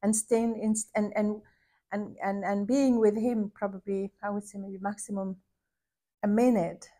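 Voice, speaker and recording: Woman, soft thoughtful storytelling, lots of restarts and pauses, mid-pitched. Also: fairly even intonation. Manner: hesitant, searching for words, many restarts; soft and contemplative, rising to warm emphasis. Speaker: podcast host recounting a visit. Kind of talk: reflective personal storytelling.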